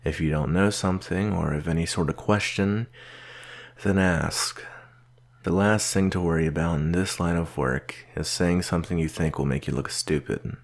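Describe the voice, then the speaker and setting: A man playing a stern, solitary research doctor in an audio roleplay, speaking in a measured, dry, curt manner with a gravelly voice. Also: deep voice, steady tone. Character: gruff male researcher doctor, solitary, stern but patient mentor. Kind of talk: slow audio roleplay acting addressed to the listener.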